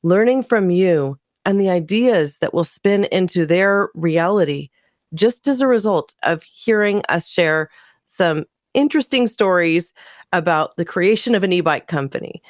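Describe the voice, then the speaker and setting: Female podcast host, inviting and emphatic, lowish voice, dry recording. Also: lively intonation, unhurried, dry recording. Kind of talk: podcast hosting and interview.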